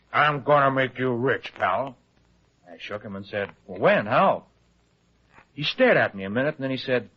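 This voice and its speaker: An actor playing an anxious, colloquial, fast-talking newspaper reporter in old-time radio detective style. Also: male voice, medium pitch. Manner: colloquial, excitable, hesitant when pressed. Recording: dull, lo-fi old radio drama recording.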